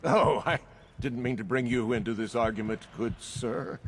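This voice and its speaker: An actor playing a pompous, blustering, slick middle-aged city mayor in a video game, with an oratorical delivery. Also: male voice, medium pitch, moderate pace, a little hiss or hum, natural voice. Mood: unctuous.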